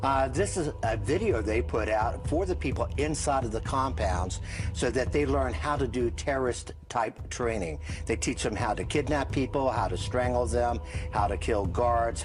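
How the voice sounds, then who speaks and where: A man giving matter-of-fact answers in an interview. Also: mid-range voice. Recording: music clearly behind.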